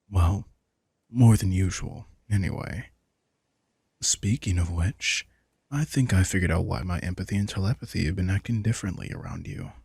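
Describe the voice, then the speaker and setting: A man voicing a possessive, teasing vampire in an intimate audio roleplay, smug and softly commanding. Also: sits low, vocal fry, husky, dry recording, studio quality, heavily acted. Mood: coaxing, knowing. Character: possessive, teasing male vampire with hypnotic powers. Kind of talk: intimate scripted audio roleplay addressed to the listener.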